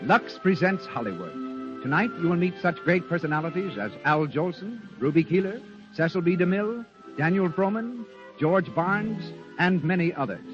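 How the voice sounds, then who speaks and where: A man's voice: high voice, expressive, normal speed, highs cut off, music in the background. A man announcing formally in 1930s radio style on a vintage broadcast.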